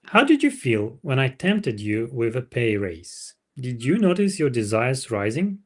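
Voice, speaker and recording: Wise older male mentor, read by a man, storybook dialogue, medium pitch, didactic, frank, emphatic. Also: very animated, measured pace. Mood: earnest, instructive.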